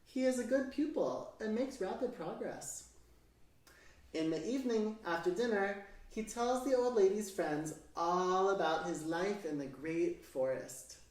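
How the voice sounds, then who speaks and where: A woman reading a children's story aloud in an expressive, measured, theatrical way. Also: mid-range voice. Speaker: storybook narrator. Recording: very echoey room, distant mic, music. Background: piano music.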